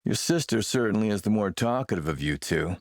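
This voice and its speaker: A man playing a suave video game warlord, coolly polite and mocking, with a measured, sardonic menace. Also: lowish voice, expressive, dry recording, studio quality. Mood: suave, needling. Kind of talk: video game villain acting.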